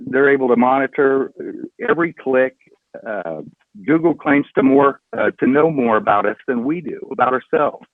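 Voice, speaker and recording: A middle-aged man speaks as an interview guest in an earnest, deliberate way. His voice sits at a medium pitch and comes through narrow and tinny, like a phone call. The sound is dry, with no room around it. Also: lively intonation, dry recording. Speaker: podcast guest. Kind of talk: casual interview talk.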